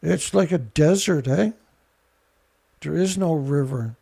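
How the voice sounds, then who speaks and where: A man hosting a ranting commentary show, with a rough voice, blunt, angry and swearing. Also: a little high, animated, takes it slowly, warm tone. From Canada. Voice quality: rough, gravelly voice. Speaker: show host.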